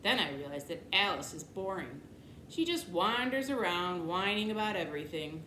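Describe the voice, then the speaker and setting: A woman's voice: fairly low pitch, expressive, normal speed, sharp tone. A woman voicing a sarcastic, whiny, dramatic older sister in a children's storybook, dismissive and exasperated. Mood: chatty. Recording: echoey room, clear background noise.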